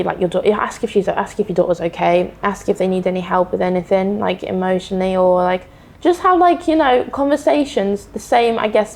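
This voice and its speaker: A woman guest in a casual podcast discussion, speaking earnestly, haltingly, often trailing off softly. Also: medium pitch, vocal fry.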